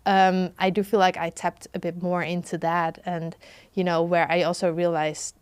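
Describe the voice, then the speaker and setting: A woman's voice: lowish voice, flat delivery, moderate pace, smooth, no echo. A young adult woman with a Dutch accent, a podcast guest talking casually and reflectively, full of "like" and "you know". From Netherlands. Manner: reflective, lots of "like" and "you know", laughs often. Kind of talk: casual conversation.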